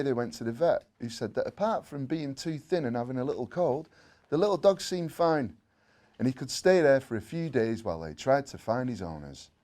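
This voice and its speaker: Male story reader, mid-pitched, highly varied intonation, expressive delivery with playful asides. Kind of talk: animated children's storytelling.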